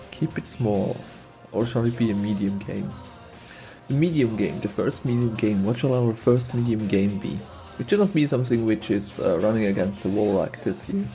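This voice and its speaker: Sniffly man with a cold, mellow dark tone, unhurried thinking aloud, let's play host. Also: fairly low pitch, vocal fry. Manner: thinks aloud, muses and repeats himself. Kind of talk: casual gameplay commentary.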